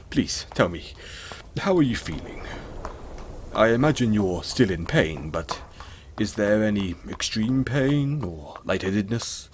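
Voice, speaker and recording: A man playing a kind, apologetic centaur in an audio roleplay, speaking gently and hesitantly to the listener in a reassuring manner. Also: on the low side, expressive, slow pace, long pauses, slight background noise. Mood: resigned, sheepish, then caring.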